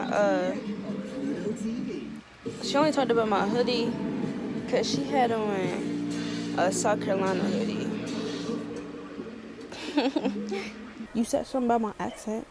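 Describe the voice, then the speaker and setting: A woman's voice: a little high, unhurried, long pauses, over loud music. A chatty young woman from Maryland with a light Baltimore accent, rambling sassily through a casual storytime. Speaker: vlogger.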